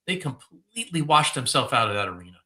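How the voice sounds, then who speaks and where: A man's voice: medium pitch, sing-song, quick pace, pushed and bright. A man co-hosting a casual chat, giving earnest, emphatic opinions. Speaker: podcast co-host.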